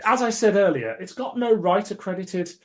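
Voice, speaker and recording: A man hosting a podcast in casual chat, explaining things in a measured, conversational way. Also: high voice, expressive. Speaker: podcast host.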